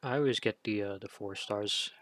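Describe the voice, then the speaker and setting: A man doing casual game commentary, rambling and thinking out loud as he plays and reads off skill text. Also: fairly low pitch, moderate pace. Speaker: gaming streamer.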